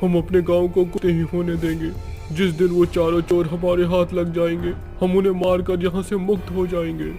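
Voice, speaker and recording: A man voicing a bitter, determined ghost child in a horror story, forceful and dramatic. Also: medium pitch, level tone, moderate pace, soft background music, voice pushed up, natural voice.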